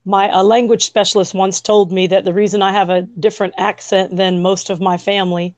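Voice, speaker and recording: A woman's voice: mid-range voice, level tone, fairly quick, a little mellow, no room sound, dull audio. A woman from Washington, D.C., with a slight Southern country drawl, talking as a guest in a bubbly, giggly, storytelling way over a video call. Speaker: guest, intuitive healer and massage therapist. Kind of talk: casual conversation.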